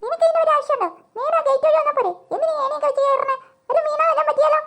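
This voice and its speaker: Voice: very high-pitched, very fast, fairly bright tone. A performer voicing a bossy, studious teenage schoolgirl in a comic cartoon, scolding in a matter-of-fact way. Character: bossy, studious tenth-grade girl, playfully scolding. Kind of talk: comic Malayalam cartoon dubbing.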